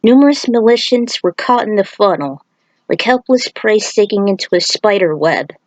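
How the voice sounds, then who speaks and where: A woman's voice: mid-range voice, expressive, normal speed, no room sound, poor audio. Woman narrating an action story, dry sound. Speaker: fan fiction author reading aloud. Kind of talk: narrated storytelling with casual asides.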